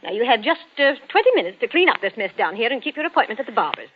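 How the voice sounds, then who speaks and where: A high, animated voice of a bossy middle-aged wife in an old radio play. She nags and orders curtly, with swooping emphasis. The recording is dull and vintage. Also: racing, slightly rough, faint music behind. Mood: commanding. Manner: domineering, curt, nagging. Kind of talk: old-time radio drama acting.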